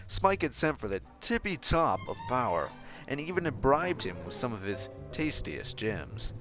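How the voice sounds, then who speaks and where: A man reads a story aloud as an expressive narrator. His voice sits at an average pitch for a man, and music plays behind it. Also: normal speed. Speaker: fan fiction reader. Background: background music.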